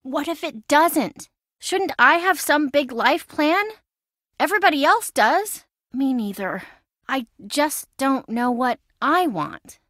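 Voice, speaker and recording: Video game role: an insecure, boy-crazy young woman, dramatic, very high voice with big swings. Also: takes it slowly, dry recording, studio quality, full character voice. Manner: dramatic, expressive, emotionally swinging.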